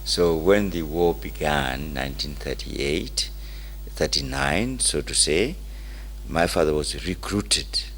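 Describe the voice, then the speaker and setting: An older man telling his life story as an interview guest, in deliberate, halting phrases. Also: rumbling low, a bit bright, slight background noise. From Malawi.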